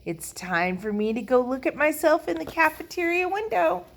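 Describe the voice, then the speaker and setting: Woman putting on a high, gushing, vain little schoolgirl. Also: normal speed, gravelly, a little hiss or hum. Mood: gushing, pleased. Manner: gushing, self-important, emphatic.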